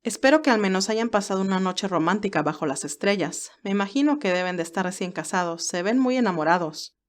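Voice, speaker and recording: A woman's voice: mid-range voice, expressive, brisk, close to an everyday voice. A woman voicing a friendly, plain-spoken male farmer who drives a cart in an audio story. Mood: amused, frank. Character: obliging male farmer driving a milk cart.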